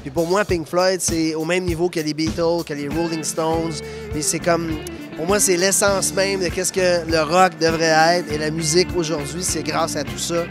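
A man's voice: fairly high pitch, level tone, normal speed. A middle-aged man giving an earnest interview answer. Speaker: interviewed music fan. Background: music.

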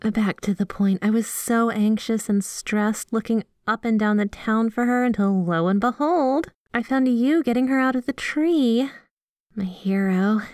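A woman's voice: a little high, unhurried, a little mellow, no echo, studio quality, close to an everyday voice. A woman playing a sweet, obsessively devoted yandere girlfriend in a scripted ASMR roleplay, gushing and bashful as she speaks to the listener. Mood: tender, nostalgic. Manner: gushing, giggly, teasing, bashful asides.

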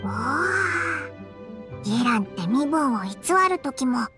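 A woman voicing a tiny, excitable, blunt fairy companion with childlike, boyish phrasing in a video game. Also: high-pitched, takes it slowly, full character voice. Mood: blunt, musing. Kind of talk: Japanese video game voice acting. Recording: loud game music behind the voice.

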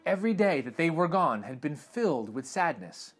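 A young man reading a storybook aloud to children in an animated, expressive way, recorded in a living room. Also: fairly high pitch, moderate pace, soft background music. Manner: animated and expressive, dramatic swings in tone. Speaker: read-aloud host. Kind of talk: storybook read-aloud narration. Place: indoor living room with sofa.

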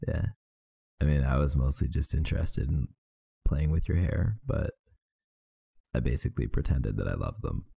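A tired man talking softly and intimately, close to the mic, in an affectionate boyfriend roleplay. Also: rumbling low, vocal fry, slightly rough, no room sound. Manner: soft, intimate, affectionate murmuring. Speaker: ASMR roleplay performer.

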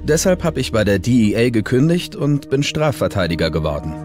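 A man's voice: medium pitch, very animated, brisk, a little mellow, natural voice. A man voicing a confident former drug agent turned defense lawyer in a first-person documentary voice-over. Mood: confident, reflective. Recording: music under the voice. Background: background music.

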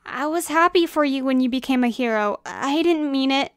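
A woman's voice: sits high, moderate pace, dry recording, studio quality, pitched above the performer's own voice, lightly acted. A woman voicing a hurt, defensive young man in an emotional storybook quarrel. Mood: remorseful, pleading. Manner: emotional, emphatic.

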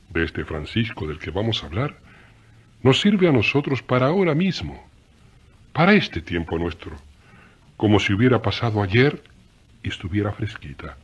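A man's voice: on the low side, breathy edge, muffled recording. A man narrating a radio drama as a storyteller, folksy and chatty, speaking directly to listeners.

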